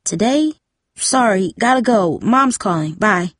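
Woman as a hesitant, evasive schoolgirl, lightly high. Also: lively intonation, unhurried, a bit bright, dry recording, clean studio sound.

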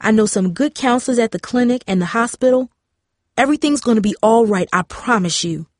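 A woman's voice: a little high, fairly quick, no echo, clean studio sound, voice pushed up, close to an everyday voice. A woman playing a loving mother in a storybook, soothing and earnest. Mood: reassuring. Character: loving, reassuring mother of teenagers.

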